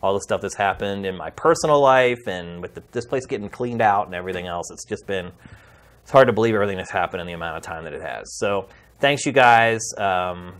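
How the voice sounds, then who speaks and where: A man's voice: low voice, expressive, a little fast, a bit bright. Earnest male podcast host, heartfelt. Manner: heartfelt, pleading, conversational. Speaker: podcast host and games journalist. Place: video podcast studio.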